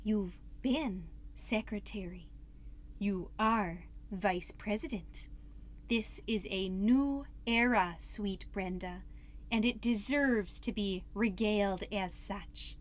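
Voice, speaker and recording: A woman's voice: mid-range voice, slow pace, natural voice. A woman voicing a grandiose PTA leader who makes solemn, formal pronouncements in a comedy podcast drama. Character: grandiose, commanding PTA queen-bee woman.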